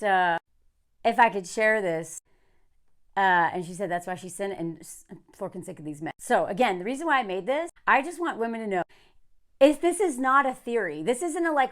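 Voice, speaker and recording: An American woman from the South talking to camera in a casual, emphatic rant, sardonic and quick to find things funny. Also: on the high side, a bit bright. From southern United States. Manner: emphatic, sardonic, laughing often. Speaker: online content creator.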